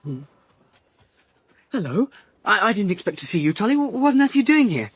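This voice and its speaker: Husky, lively, anxious teenage youth. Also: male voice, high-pitched, no room sound, full character voice. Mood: defensive unease. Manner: halting, questioning. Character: naive seventeen-year-old youth, defensive and anxious. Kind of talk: radio drama acting.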